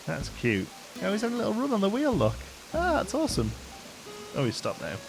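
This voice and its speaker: Chatty male streamer, deep voice, game music underneath. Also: huge pitch swings, fairly quick, vocal fry, rough recording. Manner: chatty, reads out gift notes and thanks viewers. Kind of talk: casual live gameplay chat.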